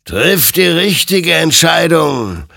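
Brief: spectral death rider voiced by a man, very slow, raised, gravelly. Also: on the high side, big pitch swings, pushed and bright, no room sound, clean studio sound, pitched above the performer's own voice, heavily acted. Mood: ominous. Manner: slow, hissing, solemn. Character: ghostly male rider of death, calm and ominous.

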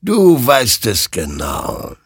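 Man voicing a slow, menacing spectral horseman. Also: medium pitch, wildly swooping pitch, slightly rough, no room sound, studio quality, pitched above the performer's own voice, heavily acted. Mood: solemn, ominous. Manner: slow, solemn pronouncements. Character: ancient all-knowing spectral rider of burning souls, cold and menacing.

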